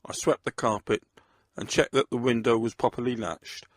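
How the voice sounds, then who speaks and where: A man's voice: medium pitch, fairly even intonation, normal speed, dry recording, studio quality. A man reading scripted first-person narration of a crime story.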